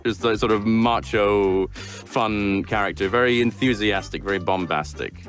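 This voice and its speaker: A man's voice: lowish voice, a little slow, a bit bright, faint music behind. A man being interviewed as a voice actor, telling casual anecdotes in an amused, slightly hesitant way. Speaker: guest voice actor.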